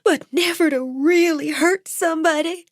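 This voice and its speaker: Brief: narrator playing a weepy, high-pitched young woman who babbles through tears. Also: moderate pace, dry recording, studio quality. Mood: tearful. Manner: shrill, sobbing, gushing.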